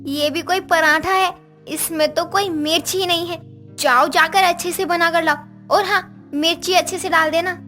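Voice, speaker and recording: Casting: female actor as spoiled young tattletale, very high voice, demanding and whiny, cartoon style over music. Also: fairly even intonation, moderate pace, sharp tone. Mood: bossy. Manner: demanding, complaining. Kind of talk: Hindi moral-story cartoon dubbing.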